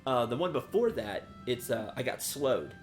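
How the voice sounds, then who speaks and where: A man's voice: mid-range voice, animated, normal speed. Casual male game streamer over faint music. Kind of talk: casual live game commentary.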